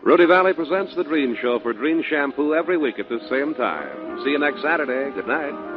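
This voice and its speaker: Old timey american radio ad guy, really lively pitch, tinny sound with music behind. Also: brisk. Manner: polished, emphatic sales pitch. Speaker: radio announcer. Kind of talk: 1940s radio commercial announcing.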